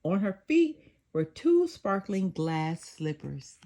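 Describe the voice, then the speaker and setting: An older woman reading a children's storybook aloud, expressive and sing-song, with occasional small slips. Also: medium pitch, slow. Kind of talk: children's read-aloud narration.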